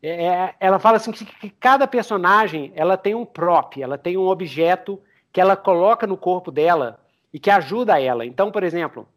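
A Brazilian man chatting casually as a podcast host, telling stories in an animated way. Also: high voice, brisk. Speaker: podcast host, game master.

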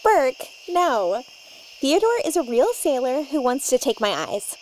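Woman, comedy lead, high and lively, cheerfully unbothered. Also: a little slow, slight background noise. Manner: bubbly, oblivious, cheerfully unbothered. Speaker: cast member. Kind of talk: comedic audio sketch.

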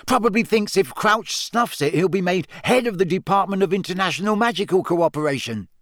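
A man's voice: sits high, expressive, fairly quick, fairly bright tone, no room sound, studio quality, voice pushed up. A man voicing a teasing, easily worried teenage schoolboy in a storybook, informal and needling. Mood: joking.

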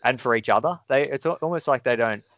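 A man hosting a casual chat, easygoing and halting, laughing often. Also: mid-range voice, fairly bright tone, no echo, dull audio. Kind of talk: casual conversation.

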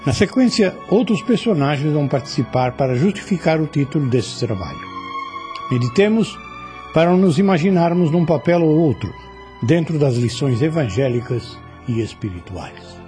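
A Brazilian man gives reflective, scripted spiritual commentary. His voice is relaxed and mellow but moves widely in pitch, with light music behind. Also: moderate pace.

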